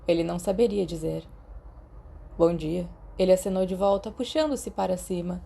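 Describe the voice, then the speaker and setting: Mellow Brazilian woman narrating a story. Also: on the low side, fast talker, vocal fry, husky. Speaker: audiobook narrator. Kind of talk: scripted fan fiction narration.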